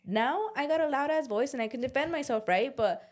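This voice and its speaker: A woman's voice: sits high, animated, fairly quick, a little mellow. Young woman, podcast co-host; casual slangy chat, confessional, quotes her own inner voice.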